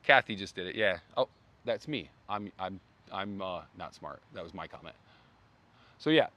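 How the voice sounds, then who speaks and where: A man hosting a casual brand livestream outdoors, rambling with many fillers and restarts, his voice going hoarse. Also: low voice, expressive, normal speed, pushed and bright. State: losing his voice. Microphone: phone.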